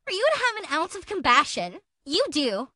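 A woman's voice: high-pitched, sing-song, normal speed, sharp tone, no room sound, clean studio sound, full character voice. A woman voicing an aggrieved, accusing woman in a dramatic reading of a text exchange, sounding self-pitying. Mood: wounded, scornful.

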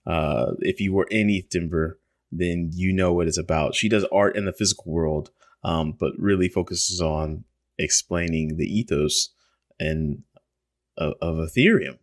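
Low-pitched man, casual podcast host, rambling and loose. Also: unhurried. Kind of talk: casual chat.

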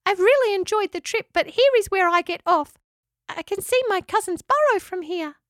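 A tiny female echidna chirps in a very high, squeaky voice, as a woman puts her on. She has a lilt and a bouncy, cheeky storybook energy. The sound is dry and close. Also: expressive, moderate pace, a bit bright, no room sound. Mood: chirpy.

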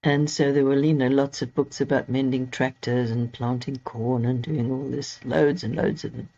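A woman's voice: a bass voice, steady tone, moderate pace, creaky, mellow. A woman from Zimbabwe, a guest astrologer, telling stories from her past in a reflective, anecdotal way over a remote call. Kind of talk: conversational interview storytelling. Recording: slightly dull lo-fi audio.

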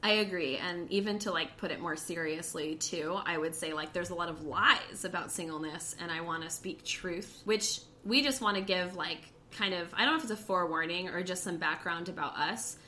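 Bubbly young woman, low bright voice. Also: moderate pace, hardly any pauses, slight background noise. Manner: bubbly, light and upbeat. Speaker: podcast co-host. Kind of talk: casual chat.

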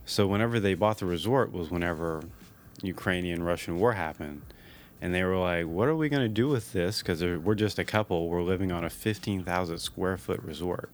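A man's voice: low voice, fairly quick, slight background noise. A man co-hosting a casual podcast, chatty and upbeat, laughing easily as he shares stories. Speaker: podcast co-host.

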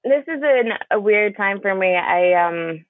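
A woman's voice: mid-range voice, lively intonation, no echo. Hesitant woman from Palestine, interview guest, dry close sound. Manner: hesitant, halting, with many fillers and restarts. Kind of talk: conversational interview. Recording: tinny phone-like line.

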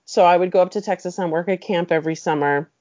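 Chatty middle-aged woman, dark dry voice. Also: on the low side, fast, no room sound, lo-fi sound. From Mexico City. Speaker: clinical psychologist guest. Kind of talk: casual interview chat.

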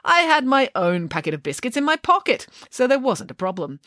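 A woman's voice: high-pitched, sing-song, brisk, a bit bright, dry recording, clean studio sound, voice pushed up. Woman doing a grandly indignant nanny. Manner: indignant, proper, grandly self-assured. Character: bold, indignant, mischievous nanny.

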